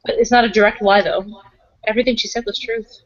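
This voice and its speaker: A woman's voice: fairly high pitch, steady tone, fast talker, no room sound, lo-fi sound. A woman playing a tabletop roleplaying game, speaking casually as her character and narrating the character's actions.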